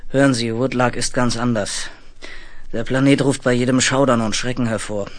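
A man plays a stiff commander of a planetary outpost in a 1970s space radio drama. His voice is medium in pitch. He is curt, stern and insistent on rank. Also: fairly even intonation, moderate pace, close to an everyday voice. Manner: curt, emphatic, rank-conscious. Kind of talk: 1970s German radio play acting.

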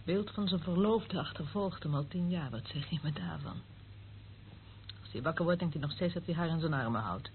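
A woman's voice: low-pitched, moderate pace, lightly acted. An actress playing a cynical female eavesdropper in a radio play, sardonic and theatrical, relishing what she knows. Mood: scornful. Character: cynical, gloating female eavesdropper of a secret service. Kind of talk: radio drama acting. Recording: narrow tinny old radio recording, faint noise.

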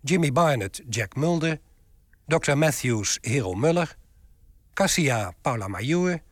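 A man reading scripted radio credits as an announcer, formal and measured. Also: mid-range voice, animated, no echo, clean studio sound.

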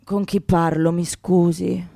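A woman's voice: fairly low pitch, little pitch movement, slow, creaky, mellow, dry recording, voice pushed down, full character voice. A woman playing a world-weary, grudging damned aesthete, hesitant and blunt, in a radio comedy sketch.

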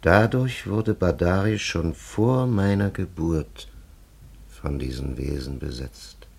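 An actor in a radio drama playing a solemn, grandiose old warrior grandfather, with a raspy voice and archaic, ponderous oratory. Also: low voice, takes it slowly, exaggerated voice. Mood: grave, weary. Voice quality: gravelly, raspy.

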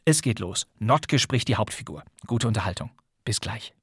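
A man's voice: fairly low pitch, lively intonation, moderate pace, slightly rough, dry recording, studio quality. A man presenting a crime radio play as a podcast host, with scripted announcements.

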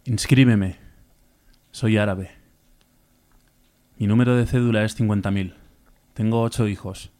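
A man, a stage actor, recites poetry in a declamatory, emphatic manner with deliberate pauses. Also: on the low side, steady tone, a little mellow.

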